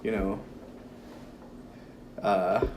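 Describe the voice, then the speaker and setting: A hoarse young man talking as a guest in a relaxed, rambling conversation with lots of fillers. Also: on the low side, extremely slow, a little hiss or hum. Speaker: podcast guest. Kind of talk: casual conversation.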